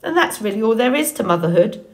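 A woman voicing an old female goose in an audiobook story, squawking, bossy and brisk in her manner. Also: on the high side, animated. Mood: brisk advice. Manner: indignant, bossy, matter-of-fact.

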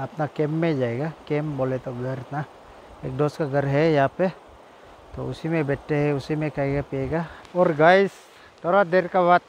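A man talks casually to camera, repeating himself and breaking into exclamations. His voice sits a little high for a man. Faint outdoor rain and nature sounds run underneath. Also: slight background noise. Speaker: vlogger. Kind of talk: casual vlog narration to camera. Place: outdoors by a jungle river in the rain.

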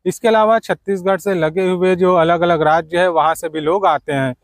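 A man delivers a travel-guide voice-over. His voice sits high for a man. The sound is dry, with almost no room echo, and he addresses the viewers directly as friends. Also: fairly even intonation, normal speed. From Chhattisgarh, India. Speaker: travel vlogger, narrator.

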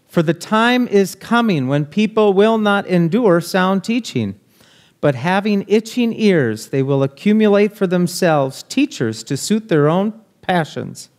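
A man's voice: fairly high pitch, a little mellow. A man in his thirties preaching a sermon from a church pulpit, reading scripture aloud and then explaining it in a conversational way. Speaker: pastor. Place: church sanctuary, speaking from a pulpit. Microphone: pulpit microphone, filmed by a far camera.

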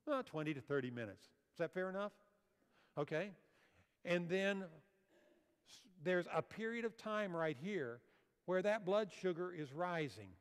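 A man's voice: sits high, lively intonation, a little slow, clean tone. An older man giving a health seminar lecture on a church auditorium stage, explaining slides and asking the audience questions. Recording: long hall reverb.

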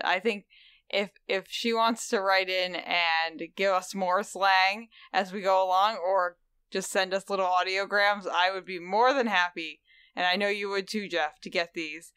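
A woman's voice: mid-range voice, a little slow, sharp tone, clean tone, dry recording. A woman co-hosting a podcast, talking in an enthusiastic, gushing way. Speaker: podcast co-host. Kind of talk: casual chat.

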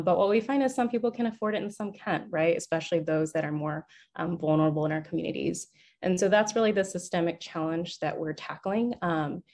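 Young woman, American accent, lower-pitched voice; upbeat, fluent panelist on a video call. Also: a little mellow. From United States. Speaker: co-founder and panelist. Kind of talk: prepared panel introduction.

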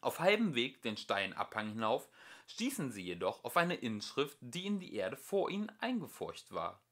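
A man reading a fairy tale aloud as an amateur audiobook narrator, with expressive storytelling. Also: medium pitch, normal speed.

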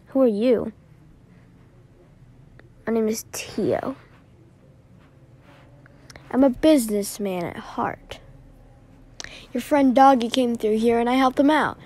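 A woman doing amateur scripted character dialogue for an animated story, with a drawn-out, unhurried delivery. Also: fairly high pitch.

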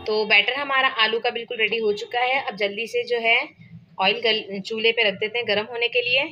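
A woman's voice: a little high, fairly even intonation, a little fast, pushed and bright, muffled recording, faint music behind. A woman hosting a cooking channel, talking casually step by step through a home recipe in her kitchen, with a Pakistani Urdu accent. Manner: chatty step-by-step explaining, frequent filler phrases.

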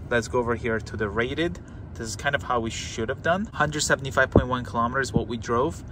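A young man in his twenties, hosting a car channel, chatting and explaining step by step into a handheld phone, thinking aloud as he goes. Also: mid-range voice. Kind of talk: casual walkthrough vlog. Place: inside a parked car, later outdoors in a winter parking lot. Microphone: phone camera at arm's length. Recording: noisy car and street background.

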